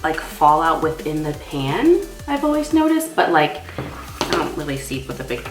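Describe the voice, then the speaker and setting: Low-pitched young woman with a stuffy cold. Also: very animated, moderate pace, over music. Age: young adult. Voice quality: slightly stuffy, congested. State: has a cold. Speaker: makeup artist and beauty vlogger. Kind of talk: casual makeup tutorial chat. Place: small indoor room. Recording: roomy echo.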